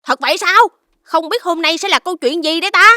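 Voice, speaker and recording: A young cartoon child, polite and inquisitive. The voice is high and bright, with a dry, close sound made for children's animation. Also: female voice, brisk, dry recording. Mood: intrigued.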